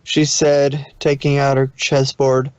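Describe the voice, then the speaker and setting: A man's voice: medium pitch, completely flat delivery, slow pace, smooth, dry recording, dull audio. A man reading scripted fan fiction as the narrator of a dramatic story.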